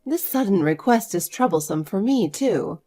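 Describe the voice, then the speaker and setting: A woman voicing a caring but firm older grandmother, earnest and measured, in an acted family story. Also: medium pitch, animated, dark tone, soft background music, lightly acted.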